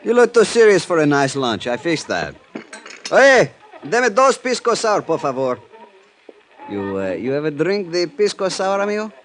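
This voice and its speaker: Courtly police chief, Spanish accent, sly, teasing, halting phrases, very melodic pitch, vintage radio play. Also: male voice, very animated. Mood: genial. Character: genial, sly Venezuelan male police chief. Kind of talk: golden age radio drama acting.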